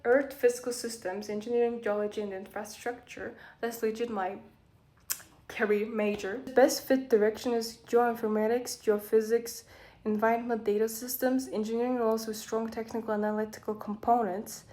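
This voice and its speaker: A woman's voice: a little high, flat delivery, normal speed, warm tone. A young woman with a Mongolian accent, a native Mongolian speaker, casually reading aloud and commenting in a rambling, self-correcting way as a vlogger. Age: young adult.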